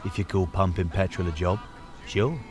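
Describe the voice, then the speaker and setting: A man acts a young boyfriend in an audio drama, with a lowish voice over light music. He is guarded and polite, answering in a dry, deferential way. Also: normal speed, lightly acted. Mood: dry, casual.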